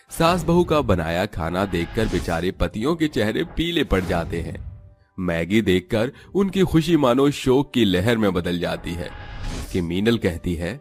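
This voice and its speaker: Animated, lowish-voiced male storyteller. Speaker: narrator. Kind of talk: scripted storytelling narration.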